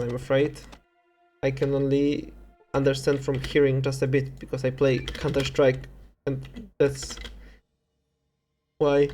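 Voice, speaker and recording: A man's voice: medium pitch, a little mellow. A young man with a Polish accent speaking as a livestreamer, chatting casually with viewers in a rambling, hesitant way and often correcting himself. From Poland. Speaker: video game livestreamer. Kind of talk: casual chat with viewers while streaming.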